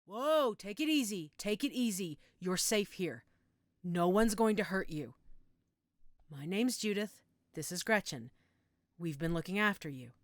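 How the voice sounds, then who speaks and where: Woman acting as a calm, unhurried motherly healer. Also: mid-range voice, no echo, studio quality, natural voice. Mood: soothing. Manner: gentle, reassuring, coaxing. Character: calm motherly werewolf-den healer named Judith, tending a patient. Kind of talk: audio roleplay acting.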